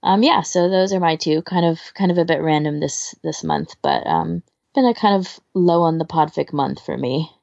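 A woman's voice: low-pitched, very animated, moderate pace, gravelly, a little mellow, dry recording, highs cut off. A woman in casual, informal chat as a podcast co-host, rambling and hesitant as she gives recommendations, often trailing off. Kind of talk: casual chat, recommending fan fiction audio.

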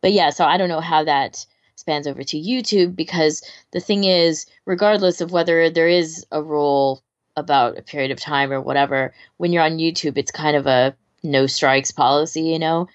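A woman's voice: sits low, fairly even intonation, moderate pace, dry recording, lo-fi sound. A woman co-hosting a podcast, talking in a casual, conversational way. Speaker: podcast co-host. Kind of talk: casual discussion.

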